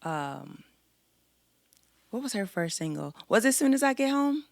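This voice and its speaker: A woman singer, a guest on a podcast, talking in a soft, thoughtful and reflective way with a light, sweet voice. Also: medium pitch, sing-song, measured pace, gravelly, no echo. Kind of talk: casual podcast chat.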